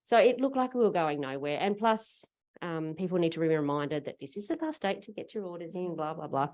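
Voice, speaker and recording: A woman's voice: fairly low pitch, animated, brisk, a little mellow. A woman on a conference panel, telling a story in a chatty, rambling way. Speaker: panelist, parent fundraiser. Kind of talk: casual panel talk.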